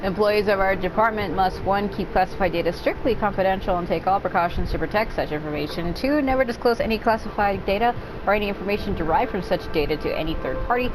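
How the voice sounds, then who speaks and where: A woman's voice: mid-range voice, fast talker, low-quality recording. A woman doing casual gameplay commentary with a sarcastic, irreverent manner and plenty of swearing. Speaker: gaming streamer playing and commenting. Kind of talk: casual gameplay commentary with sarcastic quips and reading in-game text aloud. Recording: game music behind the voice. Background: video game music and sound.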